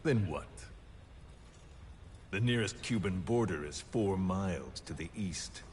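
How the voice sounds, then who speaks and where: A man playing a calm, sadistic military interrogator in a video game, speaking deliberately and tauntingly. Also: low voice, slight background noise. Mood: cold taunting.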